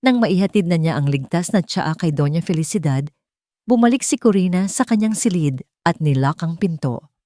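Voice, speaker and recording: Low-pitched woman narrating a dramatic story. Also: lively intonation, moderate pace, warm tone, no room sound, studio quality.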